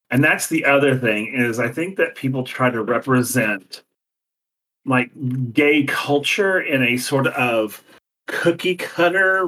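A man in his forties co-hosting a podcast, chatting casually in an animated, theatrical way and laughing often. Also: medium pitch, far from the mic, low-quality recording. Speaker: podcast co-host. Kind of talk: casual chat.

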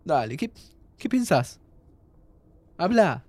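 An actor playing a dying young man from Buenos Aires, playful and hopeful, talking informally and haltingly to his friend in an audio drama. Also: on the high side, sing-song, darkish tone, no echo, full character voice. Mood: pleading. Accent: Argentine, Buenos Aires. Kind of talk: naturalistic audio fiction acting.

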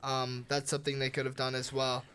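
A woman's voice: rumbling low, monotone, fairly quick, a bit bright, clear, resonant tone. A woman from the United States co-hosting a sports podcast, chatty and playfully teasing her co-host. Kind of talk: casual sports podcast chat.

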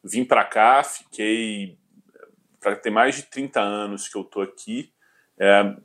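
A man in his forties, a finance executive from southern Brazil, answering interview questions in a measured way with frequent hesitations. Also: lowish voice, fairly bright tone. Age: 40s. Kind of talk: business interview.

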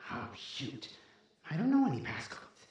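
A woman's voice: extremely low pitch, big pitch swings, a little slow, a little mellow, exaggerated voice. A woman playing a persuasive, impatient child in an audio drama, coaxing and insistent, with a voice that sounds older than a kid's. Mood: encouraging. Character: persuasive, impatient kid trapped and scheming. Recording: roomy, echoing sound.

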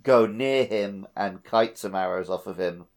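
A man's voice: on the low side, unhurried, gravelly. A man co-hosting a podcast, talking casually and conversationally about playing through a video game. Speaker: podcast co-host.

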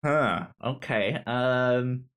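A man's voice: medium pitch, drawn-out words, a bit bright, clean tone. A man hosting a podcast in casual conversation, often stuttering and repeating sounds and syllables as he talks. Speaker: podcast host.

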